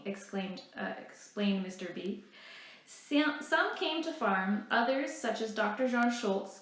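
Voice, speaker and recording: Female library storyteller, graphic-novel read-aloud, higher register, very reverberant room.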